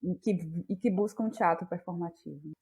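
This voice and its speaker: A woman with a Bahian Brazilian accent, speaking as an interview guest in a reflective, conversational way, often halting to search for words. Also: lowish voice, a little mellow, breathy edge. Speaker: guest, playwright and actress.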